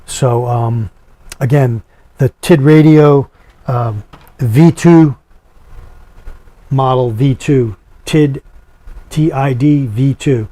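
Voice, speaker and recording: A man's voice: mid-range voice, drawn-out words, pauses often, warm tone. A man talking casually as a video host, explaining gear in plain words, step by step. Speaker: product reviewer and video host. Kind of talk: casual how-to product demonstration.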